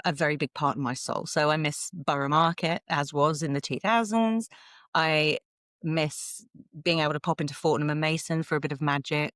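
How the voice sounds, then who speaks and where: A woman's voice: low-pitched, a bit bright, dry recording, studio quality. A woman with a southern English accent, talking casually and chattily as a podcast guest, with some self-deprecation. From south of England, London suburbs. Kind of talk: casual conversational interview.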